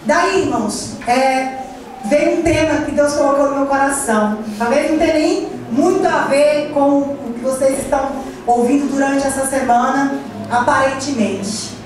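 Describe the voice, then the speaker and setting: A woman's voice: high voice, normal speed, noisy background. A woman pastor from Ceará with a Cearense accent preaching a fervent sermon, leading call-and-response and drifting into sung phrases. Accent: Cearense Portuguese. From Ceará, Brazil. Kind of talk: Pentecostal sermon. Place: large church hall. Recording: echoey church hall from far off. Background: music and congregation.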